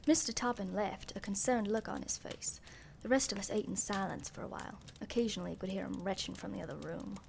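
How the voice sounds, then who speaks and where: A woman playing a wry, suspicious young journalist who narrates an amateur radio mystery in a deliberate, dry way. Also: medium pitch, vocal fry. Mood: wry, brooding. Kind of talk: scripted first-person mystery narration. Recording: faintly noisy home recording.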